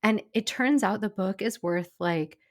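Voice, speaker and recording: A middle-aged woman co-hosting a cooking chat, talking in an easy, enthusiastic way with quick asides. Her voice sounds a little stuffy from a cold. Her pitch sits in an ordinary middle range. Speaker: podcast co-host; former personal chef and caterer. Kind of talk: casual chat.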